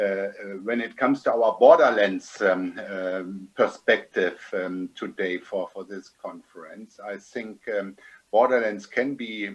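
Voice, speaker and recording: A man with a slight German accent gives brief panel remarks, hesitating often and filling pauses with frequent ums. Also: fairly low pitch, dull audio. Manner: hesitant, heavy um fillers.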